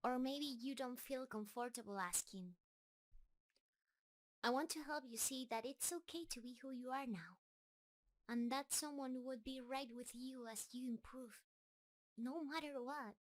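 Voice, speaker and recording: A woman playing a shy, lovestruck giant spider creature in a roleplay audio drama, speaking tenderly and hesitantly to the listener. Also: high-pitched. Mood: gentle, wistful. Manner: nervous, hesitant, tender, halting.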